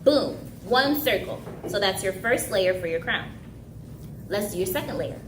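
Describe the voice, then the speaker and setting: A woman's voice: mid-range voice, expressive, unhurried. Young woman, native Haitian Creole speaker, chatty craft teacher, echoey room, faint noise. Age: young adult. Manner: upbeat, chatty, laughs easily. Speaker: craft instructor. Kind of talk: casual craft tutorial. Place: indoor room, recreation center.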